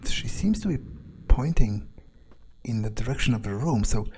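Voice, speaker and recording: A man's voice: mid-range voice, lively intonation, moderate pace, darkish tone, a little hiss or hum. A man thinking aloud in improvised in-character video game commentary, musing and sardonic in an understated, casually profane way. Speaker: gamer narrating an in-character playthrough.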